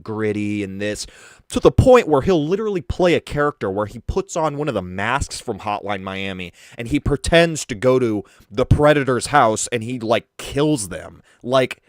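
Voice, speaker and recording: A young man with a deep voice giving crude, mocking commentary and swearing freely. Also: dry recording, studio quality. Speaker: commentary streamer. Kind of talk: casual drama commentary.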